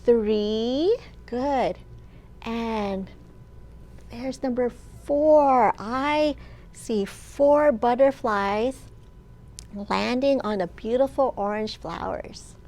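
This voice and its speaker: A woman children's librarian reading a picture book aloud to young children, sing-song, with questions and pauses for them to answer. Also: on the high side, dark tone. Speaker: children's librarian and storytime host.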